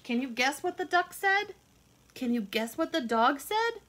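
Expressive woman reading to children. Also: high-pitched, a little slow. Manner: expressive, simple teacherly phrasing with questions. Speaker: children's read-along teacher. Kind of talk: picture-book read-aloud with friendly explanations to children. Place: indoors at home, kitchen and a room.

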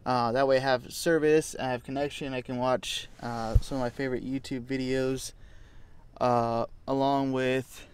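Young adult man, North American accent, medium pitch, casual camping vlog, easygoing and enthusiastic, inside a vehicle. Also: level tone, moderate pace, clean tone. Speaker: outdoor camping vlogger. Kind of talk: casual vlog chat to camera. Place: inside a parked truck at night.

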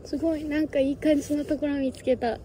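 A young Japanese woman with a high but mellow voice talks casually into a phone camera outdoors. She muses and chats in a relaxed vlog style, with faint open-air ambience behind her. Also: fast talker, a little hiss or hum. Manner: chatty, musing, talks to birds and cats in a sing-song voice. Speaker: travel vlogger. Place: outdoors on a windy hilltop fortress. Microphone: phone camera close by.

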